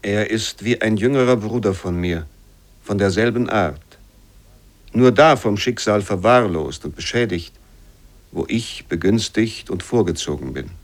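A man's voice: on the low side, unhurried, natural voice. Actor as declamatory 18th-century man of letters. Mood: earnest reflection. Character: quoted 18th-century men of letters and a king, earnest, exalted. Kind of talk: dramatic reading of historical quotations in a radio feature.

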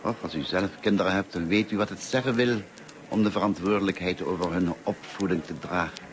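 An actor in a Dutch radio play as a kindly, talkative, lonely middle-aged teacher, courteous and musing in manner. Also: male voice, deep voice, a little fast, close to an everyday voice. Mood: earnest, musing. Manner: courteous, musing, hesitant and self-effacing. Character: kindly, talkative, lonely grey-haired male French teacher. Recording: noisy old radio play recording.

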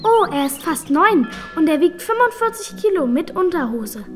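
A woman's voice: extremely high pitch, sing-song, normal speed, soft background music. An actress playing a naive, eager, obedient little schoolgirl who chatters innocently in a radio play.

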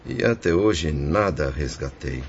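An actor playing a remorseful, resigned spirit of a ruined merchant in a solemn, declamatory religious radio drama. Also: male voice, a bass voice, lively intonation, a little slow, gravelly, husky, exaggerated voice. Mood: ashamed, anguished. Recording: dull lo-fi audio with faint noise.

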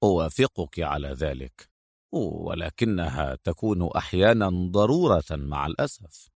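A man doing a low, cold male secret agent. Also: moderate pace, husky, no room sound. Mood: dry, reserved. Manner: dry, clipped, muttering through teeth. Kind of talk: acted audiobook dialogue.

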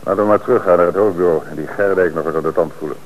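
An actor playing a calm, shrewd police commissioner who speaks in a measured, authoritative way in a radio drama. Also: male voice, low voice, muffled recording, a little hiss or hum, lightly acted.

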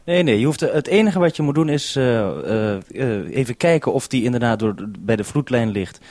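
A man's voice: medium pitch, lively intonation, moderate pace, darkish tone, no room sound. A man interviewing informally as a radio programme maker, explaining and asking questions in unscripted talk. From Netherlands.

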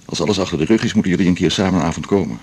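A senior Dutch male doctor in a naturalistic radio play. His voice is low and rough-edged, with a wry, unhurried delivery. He comes across as blunt yet supportive. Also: a little mellow. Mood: frank, reassuring. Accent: Dutch from the Netherlands. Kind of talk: naturalistic 1980s radio drama acting.

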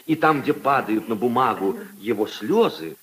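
An actor playing a witty aristocratic husband who entertains guests with theatrical, mock-solemn teasing storytelling in 1950s radio drama style. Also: male voice, medium pitch, expressive, normal speed, fairly bright tone, natural voice, low-quality recording. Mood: theatrical, mock-romantic.

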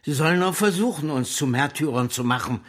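Husky, defiant socialist leader. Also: male voice, on the high side, lively intonation, a little fast. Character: defiant, idealistic male socialist leader, ardent and proud. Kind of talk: German radio drama acting.

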